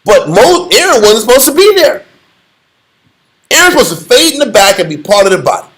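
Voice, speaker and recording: A man's voice: extremely high pitch, sing-song, fairly quick, a bit bright. A man leading a casual Bible study in a blunt, joking, slangy way.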